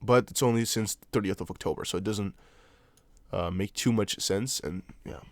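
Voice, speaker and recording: A young man whose first language is Dutch, speaking English with a Dutch accent. He hosts a casual crypto livestream, chatting loosely, reading chat aloud and thinking out loud with lots of fillers. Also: on the low side, moderate pace, dry recording. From Netherlands. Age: young adult. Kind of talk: casual livestream chart analysis.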